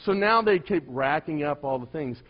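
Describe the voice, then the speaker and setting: A middle-aged male pastor with a soft voice, telling a story in a sermon and swinging between loud emphasis and hushed asides. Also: a little high, expressive, normal speed, lo-fi sound.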